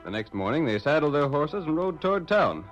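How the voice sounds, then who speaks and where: A man's voice: on the high side, very animated, a little fast. A man with an American accent narrating an old radio western in dramatic, formal 1940s style. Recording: muffled old radio broadcast with music.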